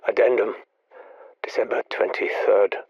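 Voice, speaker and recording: An actor playing a grieving, obsessive male scientist, speaking in a measured, brooding, confessional way in video game audio logs. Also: a little mellow, dry recording, muffled recording, lightly acted.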